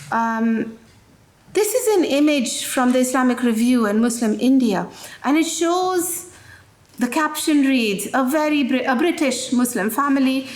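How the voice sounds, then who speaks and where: A woman's voice: fairly high pitch, a little mellow, echoey room. A woman giving a public history lecture in a conversational way, often restarting phrases and adding "you know". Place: lecture hall.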